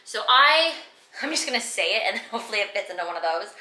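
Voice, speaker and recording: Bright chatty young woman. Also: mid-range voice, very animated, fast talker, roomy sound, rough recording. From Canada. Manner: chatty, many "like" fillers. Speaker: fitness vlogger. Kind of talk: casual Q and A chat. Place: her room.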